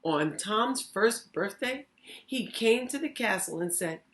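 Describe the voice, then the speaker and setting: A woman hosting storytime, reading a children's story aloud with expressive, deliberate phrasing. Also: mid-range voice. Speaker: storytime host, reading teacher.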